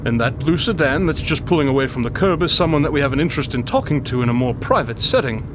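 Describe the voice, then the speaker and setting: An actor playing an imperious, eloquent detective in a radio drama, with formal, florid diction. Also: male voice, medium pitch, expressive, quick pace, noisy background, lightly acted. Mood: brisk, assured.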